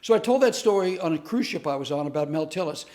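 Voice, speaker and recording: Male storyteller-teacher, slightly high voice, earnest and animated delivery. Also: fast. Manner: earnest, animated storyteller who acts out quotes. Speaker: comedic speaker and teacher. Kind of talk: storytelling lesson to camera.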